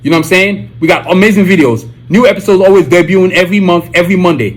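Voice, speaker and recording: A man's voice: high-pitched, rapid-fire, faint music behind. A man with a Nigerian accent hosting his own podcast, talking casually and reading sponsor plugs, with many repeated catchphrases. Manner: animated, repetitive, keeps saying "you know what I'm saying". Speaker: podcast host.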